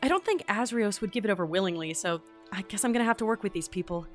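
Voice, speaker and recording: An actress playing an awkward, wry visitor from another world in a fantasy audio drama, casual and hesitant. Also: female voice, medium pitch, fast talker, soft background music, natural voice. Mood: wry, observing. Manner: casual, hesitant, dryly sarcastic. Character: awkward, wry, easily amazed otherworldly traveler. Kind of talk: fantasy audio drama acting with mission-log asides.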